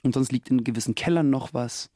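A man's voice: medium pitch, fairly quick, mellow, no echo, clean studio sound. A man in his late twenties from Russia, a guest talking casually and reflectively, laughing often and using many fillers. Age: late 20s. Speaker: guest, actor and musician. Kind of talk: casual interview talk.

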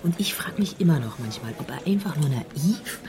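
A woman's voice: low-pitched, animated, moderate pace, background noise. An actress playing an indignant woman who is fed up with her ex and complains, in a naturalistic radio drama. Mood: indignant, weary.

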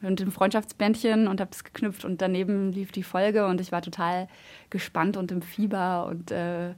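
Enthusiastic woman chatting, medium pitch. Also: level tone, normal speed, a little mellow. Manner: enthusiastic, effusive. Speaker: interviewed fan and slam poet. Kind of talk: casual interview chat.

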